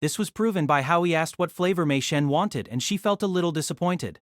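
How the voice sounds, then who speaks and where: A narrator telling a fan audio drama story in an expressive storytelling manner. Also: mid-range voice, a little fast, no room sound, studio quality.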